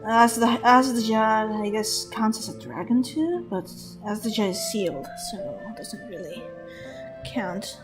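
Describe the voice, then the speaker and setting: A woman's voice: on the high side, lively intonation, a little slow, over music, low-quality recording. A woman streaming a game, thinking aloud in a hesitant, casual way and laughing often. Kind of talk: gameplay commentary.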